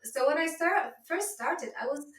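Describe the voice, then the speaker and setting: A woman's voice: high voice, expressive, normal speed, distant mic. Chatty Polish woman in an echoey room. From Poland. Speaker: guest, Polish teacher. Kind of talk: casual interview chat.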